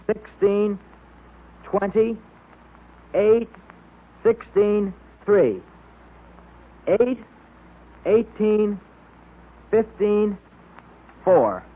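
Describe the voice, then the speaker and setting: Keen boy club leader, high lively voice, vintage tinny radio. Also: full character voice. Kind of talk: 1930s radio club segment. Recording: tinny old radio recording.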